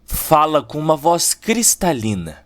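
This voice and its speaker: A Brazilian man narrating a dramatic scripted audio story in a theatrical, deliberate way, with short emphatic phrases. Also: on the high side, huge pitch swings. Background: prominent music.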